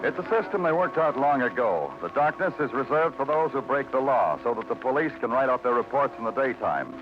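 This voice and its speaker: A man's voice: mid-range voice, big pitch swings, brisk, natural voice. A world-weary police detective played by a man narrates in terse, dramatic lines. It is classic radio noir, muffled like an old broadcast, with music prominent underneath. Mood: brooding. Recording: dull vintage radio recording, music underneath.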